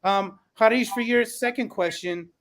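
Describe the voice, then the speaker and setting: A man's voice: high voice, normal speed, a bit bright. A man moderating a podcast panel in an encouraging, affirming manner. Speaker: podcast host.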